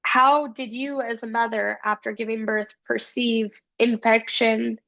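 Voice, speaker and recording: A woman's voice: fairly high pitch, little pitch movement, unhurried, gravelly, bright, forceful tone, muffled recording. A woman with a cold giving a casual academic presentation, earnest and full of fillers. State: has a cold. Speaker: student presenter.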